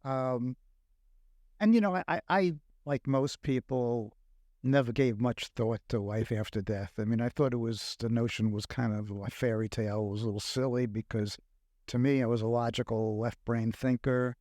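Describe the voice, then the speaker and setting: Halting older Brooklyn man, dry studio. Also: mid-range voice, darkish tone, no room sound. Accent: Brooklyn New York. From Brooklyn, New York. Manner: reflective, halting with many fillers. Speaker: guest. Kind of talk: casual podcast conversation. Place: recording studio. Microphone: close studio mic.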